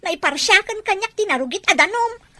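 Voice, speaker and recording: A woman's voice: very high voice, expressive, a little fast, sharp tone, heavily acted. A woman playing a young village fish seller, a dutiful and plain-spoken daughter in a radio drama. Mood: indignant.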